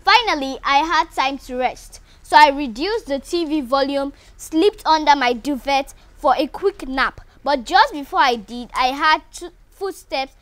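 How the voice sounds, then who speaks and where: High-voiced woman reading slowly, dry sound. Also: no room sound. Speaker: reader. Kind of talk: reading a first-person story aloud.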